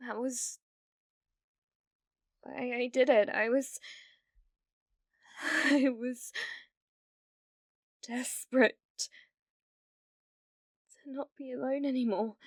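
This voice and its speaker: A delusional, lovesick British streamer girl, acted by a woman in an ASMR roleplay. She speaks slowly in a fairly high voice, pleading and stumbling, dropping into breathy whispers. The sound is very dry and intimate. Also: dry recording. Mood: nervous, longing.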